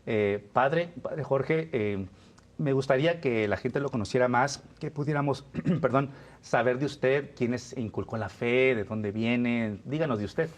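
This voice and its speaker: A man's voice: mid-range voice, measured pace. A man presenting a religious program in a conversational way, addressing his guests and viewers directly.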